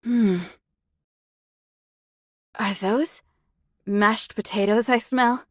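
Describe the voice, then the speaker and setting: Terse, stoic young sniper, fairly high. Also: female voice, steady tone, drawn-out words, no echo, studio quality. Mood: curious. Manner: terse, matter-of-fact. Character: stoic young female android sniper on guard, dutiful. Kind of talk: anime-style game voice acting.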